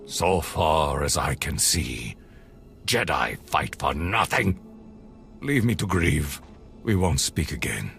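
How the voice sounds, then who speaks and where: A man's voice: rumbling low, expressive, slow pace, vocal fry, fairly bright tone, faint music behind, full character voice. An actor playing a bitter, grieving village man in a video game, speaking with intense, accusing emotion. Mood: bitter grief.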